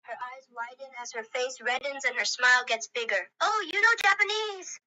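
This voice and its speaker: A female chatbot voice, high and extremely sharp, reads scripted roleplay narration and a gushing fan's lines. The playback sounds dull and lo-fi. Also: normal speed. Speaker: chatbot character voice.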